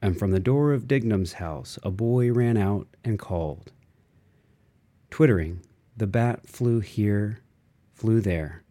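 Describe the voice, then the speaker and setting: A man reading a literary novel aloud in a measured, expressive way with dramatic phrasing. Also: lowish voice. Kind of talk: literary audiobook narration.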